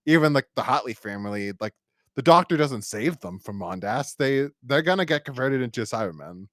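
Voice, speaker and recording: A man co-hosts a casual review podcast in an animated, medium-pitched voice. His intonation moves around a lot as he rambles and slips into imagined quotes. The sound is dry, with no room echo. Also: brisk. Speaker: podcast co-host.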